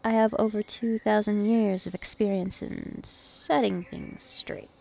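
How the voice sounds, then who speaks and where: A woman's voice: medium pitch, steady tone, measured pace, gravelly, dark tone, a little hiss or hum. A woman voicing a dominant, taunting, ancient vampire princess in an ASMR roleplay, with haughty, teasing menace. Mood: coolly threatening. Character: two-thousand-year-old vampire princess, female, dominant, taunting, imperious.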